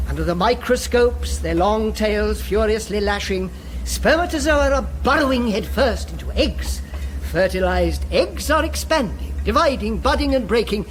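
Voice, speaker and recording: A man's voice: high voice, animated, normal speed, slight background noise. An actor playing a pompous, cold male official with formal, oratorical delivery in a radio drama. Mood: proud, declamatory.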